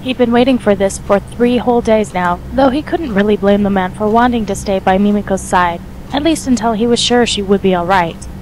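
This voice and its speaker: A woman narrates a piece of fiction from a script. Her voice sits at a medium pitch. A faint noise runs beneath the recording. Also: brisk, few pauses, a little mellow, low-quality recording.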